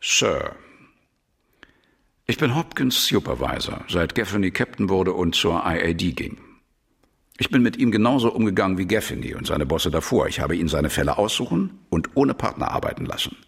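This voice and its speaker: Casting: cool, frank police supervisor in a radio play, deep and raspy, deliberate deadpan phrasing. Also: male voice, heavily acted. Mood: frank, critical. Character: frank, hard-nosed male police supervisor, cool and pragmatic. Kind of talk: German radio drama acting.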